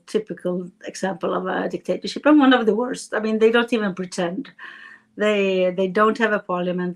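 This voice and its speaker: A woman speaking English as a politician guest, answering interview questions in an earnest, halting way with many pauses and fillers. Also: mid-range voice. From Sweden. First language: Swedish.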